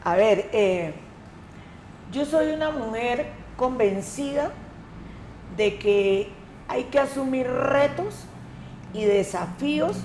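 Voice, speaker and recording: A woman from the Colombian Pacific coast, an education coordinator answering interview questions in a measured, emphatic way. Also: fairly high pitch, animated, darkish tone, a little hiss or hum. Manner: measured, emphatic, repeats key words. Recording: echoey room, faint ambience.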